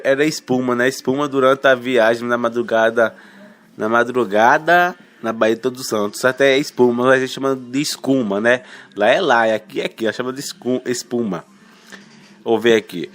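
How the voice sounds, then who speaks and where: Male radio newsreader, slightly congested with a cold. Informal, halting headline delivery with tag questions, medium pitch, music bed. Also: a bit bright. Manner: colloquial, halting, stumbles over words and self-corrects, tags remarks with questions. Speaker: radio news presenter. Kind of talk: informal reading of radio news headlines with asides.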